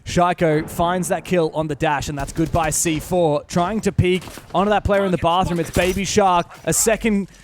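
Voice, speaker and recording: Man, esports play-by-play caster, high voice, laughs a lot, shouts on big plays, faint clicks behind. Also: fairly even intonation, moderate pace, few pauses, a little hiss or hum.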